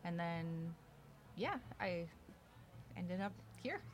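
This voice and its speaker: A woman's voice: lowish voice, big pitch swings, slow, slight background noise. A woman whose first language is Polish, chatting casually as a podcast guest in a hotel bar, with lots of fillers and easy laughter. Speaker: podcast guest, arena host. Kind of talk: casual interview chat.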